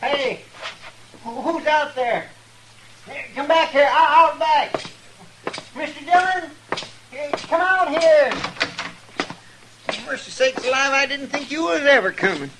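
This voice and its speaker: An American actor playing an anxious, talkative, loyal deputy in an old radio western, with a rural twang and a rambling, hesitant manner. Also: male voice, very high voice, takes it slowly, long pauses. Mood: flustered, calling out. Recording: old radio recording, faint noise.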